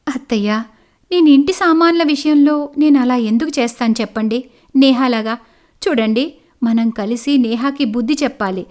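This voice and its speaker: A woman's voice: high voice, normal speed, a little mellow, natural voice. A woman voicing an indignant young elder daughter-in-law, complaining earnestly in a cartoon family story. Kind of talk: cartoon moral story acting.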